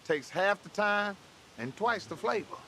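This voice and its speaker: An actor playing a middle-aged hustler uncle in a film, jovial and full of himself. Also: male voice, high voice, expressive, a little slow, bright, forceful tone, a little hiss or hum, exaggerated voice. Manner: jovial, know-it-all, chuckling.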